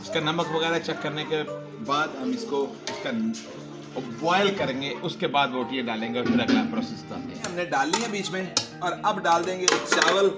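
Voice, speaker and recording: Man, 40s, slightly high voice, cooking vlog outdoors, music playing underneath. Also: moderate pace. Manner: chatty, addresses viewers directly. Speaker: cooking vlogger. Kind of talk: casual cooking demonstration. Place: outdoor village yard by a wood fire. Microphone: camera mic, handheld by a cameraman.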